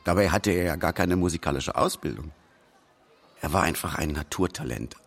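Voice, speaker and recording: A man's voice: low-pitched, lively intonation, brisk, creaky, some chatter behind, exaggerated voice. A man playing an admiring music associate in a radio drama, reminiscing fondly and expressively.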